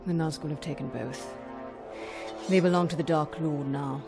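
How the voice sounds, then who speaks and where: An actress voicing a solemn, commanding elven warrior woman in a fantasy game, grave and measured. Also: deep voice, steady tone, vocal fry. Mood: stern. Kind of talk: cinematic fantasy game acting. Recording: music behind the voice.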